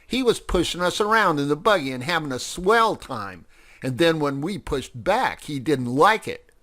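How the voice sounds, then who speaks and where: A man puts on a cheeky little field mouse from a storybook. He is rowdy and brash, high-pitched and very animated. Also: normal speed. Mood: cheeky defiance. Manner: shouting, brash.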